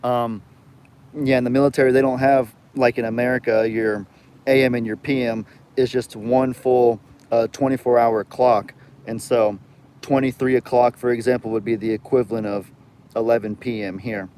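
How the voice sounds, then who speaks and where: A man's voice: mid-range voice, steady tone, normal speed, darkish tone. A young man with a light Southern US accent, hosting a casual live chat and rambling as he reads viewer comments aloud. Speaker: livestream host.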